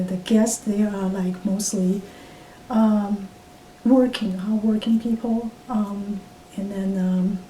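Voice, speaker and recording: A woman in her fifties from Taiwan with a Taiwanese accent, speaking English as a second language and recalling her past in an oral history interview, halting with many fillers and restarts. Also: dark tone. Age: 50s. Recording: roomy, distant mic with faint rumble.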